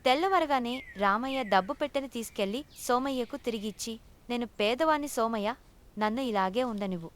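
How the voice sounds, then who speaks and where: A female storyteller narrates a scripted moral tale. Her voice is pitched a little high. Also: brisk, a little hiss or hum.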